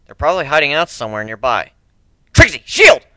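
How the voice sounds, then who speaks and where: A man's voice: fairly high pitch, big pitch swings, a little fast, bright, forceful tone, no room sound, exaggerated voice. A man voicing a brash, cocky griffin adventurer with a blunt, sardonic way of talking, in a fantasy story reading. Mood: grim, then alarmed, commanding.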